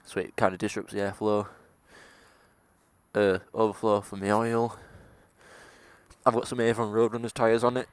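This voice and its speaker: A man's voice: lowish voice, level tone, moderate pace, pauses often, clean tone, no room sound. A young man in his late teens from England with a broad Yorkshire accent, chatting casually as he vlogs from his scooter outdoors. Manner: chatty, laid-back, laughs now and then. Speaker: motovlogger. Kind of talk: casual vlog chat while riding. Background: faint engine and traffic noise.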